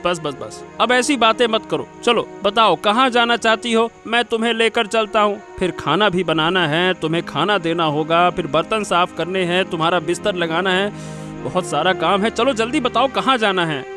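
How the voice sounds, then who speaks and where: A man's voice: sits high, normal speed, sharp tone, faint music behind. A man voicing a put-upon, exasperated grown son in a cartoon moral story, complaining impatiently. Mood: resigned, grumbling. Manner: complaining, repeats words impatiently.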